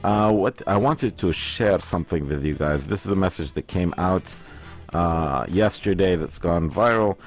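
A man's voice: sits low, moderate pace, soft background music. A man in his thirties hosting a morning radio show, chatting casually and joking with his co-hosts in a studio. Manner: chatty, jokey, puts on silly voices. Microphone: studio microphone.

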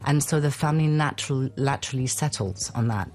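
A woman's voice: extremely low pitch, brisk, darkish tone. A woman speaking as a guest on a talk show about raising bilingual children, chatty and reassuring, often restarting her sentences. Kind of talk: radio talk show conversation.

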